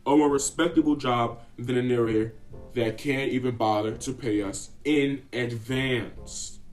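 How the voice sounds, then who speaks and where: A man's voice: medium pitch, fairly even intonation, measured pace, close to an everyday voice. Man as an aggrieved assassin over guitar music. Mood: wounded, earnest. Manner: earnest, complaining. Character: aggrieved male hired assassin, indignant and self-justifying. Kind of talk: comic radio play acting.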